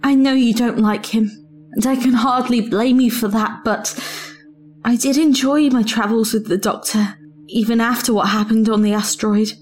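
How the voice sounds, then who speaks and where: A woman's voice: on the high side, fairly even intonation, moderate pace, a little mellow, faint music behind, close to an everyday voice. A woman acting a principled, disillusioned female travelling companion in an audio drama. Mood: subdued.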